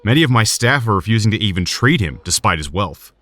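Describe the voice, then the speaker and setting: Low-voiced, grave surgeon. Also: male voice, very animated, fairly bright tone, no echo. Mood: grave, measured. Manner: measured, formal, grave. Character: serious, cautious male hospital surgeon. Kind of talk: audio drama acting.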